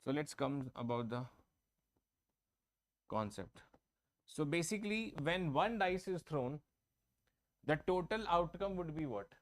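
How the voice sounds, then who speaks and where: A man, his voice sitting a little high, teaches math over his own microphone. He works through each step slowly, says key points twice and keeps asking his class whether they follow. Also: animated. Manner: explains step by step, repeats and checks with students. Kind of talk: online exam-prep class. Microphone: own microphone, online class.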